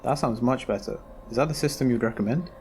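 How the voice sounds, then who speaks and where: A man's voice: medium pitch, fast talker, darkish tone, a little hiss or hum, natural voice. A man playing a cautious, inquisitive patient weighing up his options in an amateur audio drama. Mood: cautious, considering.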